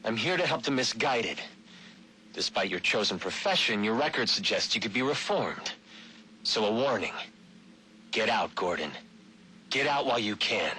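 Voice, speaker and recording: Fiery anarchist zealot for a game, menacing sermon-like rants, sharp voice, some noise behind. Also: male voice, lowish voice, very animated, rough recording. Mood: ominous, fervent. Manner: preachy, menacing, deliberate. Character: zealous anarchist vigilante preaching against corporate power. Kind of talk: video game voice acting, audio log.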